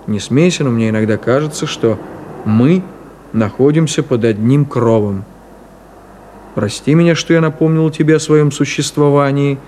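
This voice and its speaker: A man's voice: mid-range voice, darkish tone, lightly acted. Actor as cynical middle-aged man, hissy old recording. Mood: mock-reading, measured. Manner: ironic, clipped, theatrical delivery. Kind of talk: 1970s Soviet radio play acting. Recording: hissy 1970s radio play recording.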